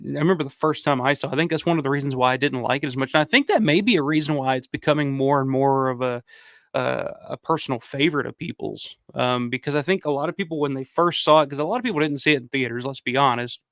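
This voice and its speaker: Livestream host, man, hoarse and gravelly, dry close mic, loose profane rambling. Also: mid-range voice, fast talker, fairly bright tone, no echo. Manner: rambling, profane, frequent restarts; reads out viewer comments. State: hoarse from a cold. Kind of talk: casual livestream chat.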